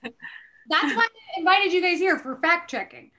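A woman's voice: extremely high pitch, animated, a little slow, slight background noise. A woman hosting a podcast, giving a dramatic book reading with casual, amused asides and theatrical emphasis.

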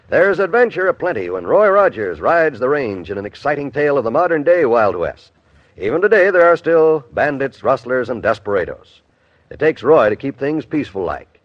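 American guy, old time radio announcer, very animated, dull lo-fi recording. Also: mid-range voice, no echo. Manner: polished, enthusiastic selling. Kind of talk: 1950s radio promo, scripted announcing.

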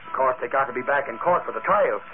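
An actor in a 1940s radio crime serial playing an excitable working-class man, dim but loyal, with blunt, slangy, ungrammatical talk. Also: mid-range voice, quick pace, close to an everyday voice. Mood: excited. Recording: scratchy old radio recording, narrow band.

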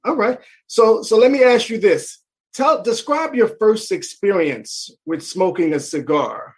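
Male interview host, high voice, brief affirmations and direct questions. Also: animated, unhurried, husky.

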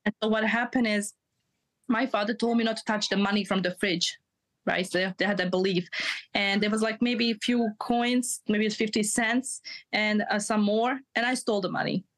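A woman's voice: medium pitch, steady tone, moderate pace. A woman in her thirties, a guest on a podcast, telling personal stories in an earnest, confiding way and laughing at herself, with slips in her English grammar. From Europe. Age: 30s. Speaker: guest, mindset coach. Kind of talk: candid storytelling in a podcast interview.

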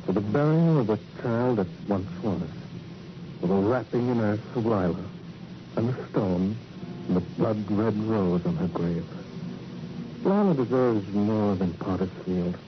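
An actor playing a destitute Skid Row beggar, poetic and mournful, speaking in halting fragments in a radio crime drama. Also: male voice, lowish voice, expressive, noisy background. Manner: halting, fragmentary, brooding phrases. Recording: old radio drama recording, dull, music behind.

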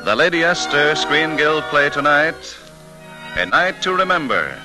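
A man's voice: fairly high pitch, animated, unhurried, low-quality recording. A male announcer speaking in a grand, declamatory 1940s radio style. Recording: vintage radio broadcast with music.

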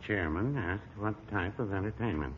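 A man's voice: on the low side, normal speed, close to an everyday voice. Man playing a meek, literal little secretary. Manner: mild, hesitant, literal. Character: meek, literal-minded, plodding meeting secretary. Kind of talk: 1940s radio sitcom acting. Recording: muffled, very noisy old radio recording.

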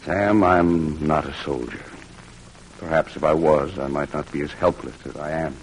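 A gentle army chaplain with an extremely deep voice. He speaks softly and solemnly, full of fatherly compassion, in an old radio drama with faint noise. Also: normal speed. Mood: sorrowful, gentle. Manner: soft-spoken, compassionate, solemn. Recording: noisy vintage radio drama recording.

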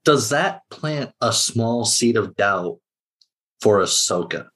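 A man's voice: on the low side, expressive, slow pace, a bit bright. A man talking casually as a panelist on a podcast about a TV series.

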